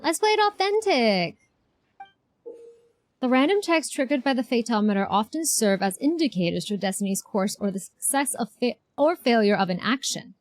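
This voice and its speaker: A woman's voice: on the high side, very animated, normal speed, dry recording. A woman streamer giving casual game reaction commentary, chatty and thinking aloud. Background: faint game music.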